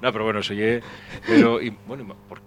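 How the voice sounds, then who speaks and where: A man's voice: fairly low pitch, huge pitch swings, fast talker. A man from Asturias with an Asturian accent, a guest in a casual radio chat, joking loosely and swearing casually.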